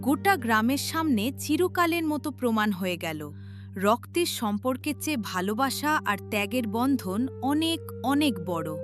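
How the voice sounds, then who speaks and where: A woman's voice: fairly high pitch, animated, moderate pace, music in the background. A woman narrator reading a scripted story in a dramatic storytelling style.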